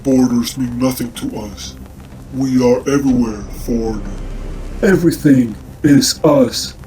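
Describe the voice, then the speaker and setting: A man's voice: medium pitch, unhurried, warm tone, soft background music, close to an everyday voice, low-quality recording. A man voicing a wrathful elemental giant in a comic dub, making solemn, ominous pronouncements. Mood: solemn menace. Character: menacing, wrathful elemental giant threatening all life. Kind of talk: dramatic comic dub acting.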